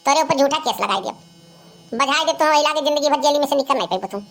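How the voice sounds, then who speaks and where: A woman plays a scolding young village woman in a cartoon comedy. The voice is high and carries an Awadhi accent. The delivery is sharp-tongued and full of complaint. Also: expressive, fast talker. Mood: scolding, threatening.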